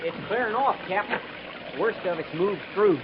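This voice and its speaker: An actor playing a wry, easygoing frontier cavalry corporal in a 1950s radio western, with folksy, ungrammatical talk. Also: male voice, high-pitched, moderate pace, close to an everyday voice. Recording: muffled, hissy 1950s radio recording.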